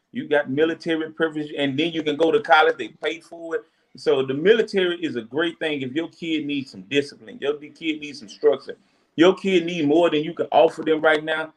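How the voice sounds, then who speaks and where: Earnest man giving advice. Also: a little high. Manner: earnest, preachy advice to parents with repeated "you know what I'm saying". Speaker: podcast co-host. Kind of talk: casual podcast discussion.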